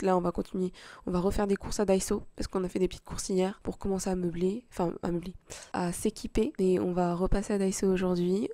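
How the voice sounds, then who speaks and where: A woman's voice: medium pitch, gravelly, mellow, no room sound. A young woman talking in a confessional vlog, emotional and hesitant, often restarting her sentences. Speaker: vlogger. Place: small apartment, then a café.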